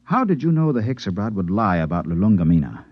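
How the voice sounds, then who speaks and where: Radio drama spaceman-narrator: earnest, puzzled, lowish pitch with dramatic swings, old muffled recording. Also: sing-song, fast talker, dry recording. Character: Mort, earnest, puzzled space traveller telling his tale.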